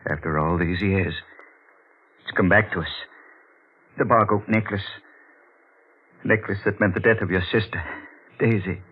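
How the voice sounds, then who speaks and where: Brooding elderly man played by a male actor, very low solemn voice, muffled vintage radio-drama sound. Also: fairly even intonation, moderate pace, long pauses, natural voice. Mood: grave, haunted. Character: grave, brooding elderly man haunted by old crime. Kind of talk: 1940s radio acting. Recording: muffled, hissy 1940s radio recording.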